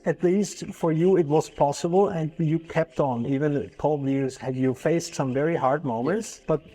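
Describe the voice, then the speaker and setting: Eager Italian violinmaker host. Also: male voice, a little high, normal speed, poor audio. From Italy. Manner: eager, animated, slightly broken English. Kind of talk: casual interview in a workshop. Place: violin workshop.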